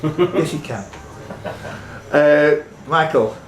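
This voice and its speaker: Man, quiz host, lively intonation, chatty teasing banter, roomy echo, faint music behind. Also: fairly high pitch, drawn-out words. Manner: jokey, teasing, chatty asides. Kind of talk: live pub quiz hosting, reading questions with banter. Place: indoors in a pub.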